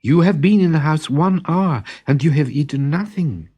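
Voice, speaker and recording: A man voicing a fussing, high-pitched worried wife. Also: lively intonation, normal speed, mellow, breathy edge. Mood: concerned. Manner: solicitous questioning. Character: concerned, fussing peasant-family wife and mother. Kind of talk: storybook character acting.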